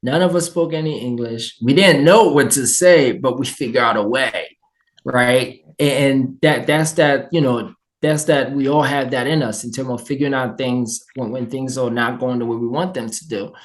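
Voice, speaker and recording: This is a man with a Haitian accent, a guest talking through his journey with upbeat energy. His pitch sits in an ordinary middle range. He laughs easily and tells his story in a loose, casual way. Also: moderate pace. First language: Haitian Creole and French.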